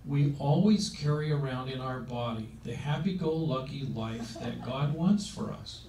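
A man's voice: mid-range voice, measured pace. Older man, 70s, emphatic preaching, handheld mic, roomy meeting room, a bit distant. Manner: emphatic, conversational preaching with rhetorical questions. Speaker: speaker on aging. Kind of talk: sermon-style talk. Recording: roomy sound from a distance with faint noise.

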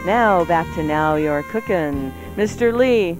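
A woman's voice: lowish voice, lively intonation, slow pace. A woman co-hosting a radio show in a casual, bantering style, playful and theatrical, given to exclamations. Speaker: radio co-host. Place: radio studio. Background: music.

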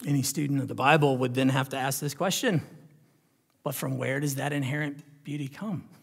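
A man's voice: medium pitch, fairly even intonation, a little fast, creaky, breathy edge. Man preaching in a reverberant hall. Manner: dramatic, swinging from hushed near-whispers to loud emphatic declarations; rhetorical questions and check-ins with listeners. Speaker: pastor preaching. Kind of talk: sermon.

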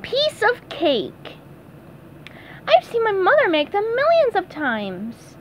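A woman reading aloud and voicing a cheerful young male sea sponge in a high, squeaky put-on voice. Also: wildly swooping pitch, takes it slowly, a little hiss or hum, full character voice. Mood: breezy.

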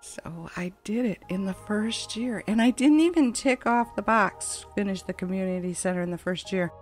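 A woman's voice: medium pitch, moderate pace. A woman giving casual, chatty let's play commentary in a rambling, conversational way. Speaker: gaming channel host. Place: voice-over on game footage. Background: game music.

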